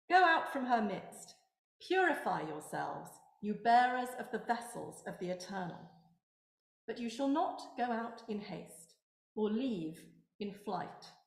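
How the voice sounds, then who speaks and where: A woman reading scripture aloud formally at a synagogue service, in measured, declamatory phrases. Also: a little high. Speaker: scripture reader. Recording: echoey room.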